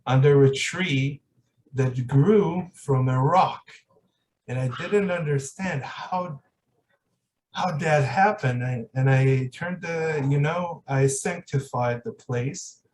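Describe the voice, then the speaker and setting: A man's voice: mid-range voice, takes it slowly, a little mellow, distant mic, a little hiss or hum, low-quality recording. A man hosting a podcast in casual, reflective conversation, hesitant and searching for his words. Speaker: podcast host.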